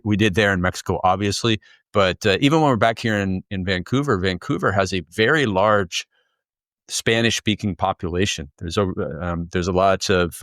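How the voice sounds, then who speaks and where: A man's voice: fairly low pitch, a bit bright, no room sound, studio quality. A man from Canada, a guest author, answering interview questions in a fluent, upbeat, storytelling way. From Canada, near Toronto.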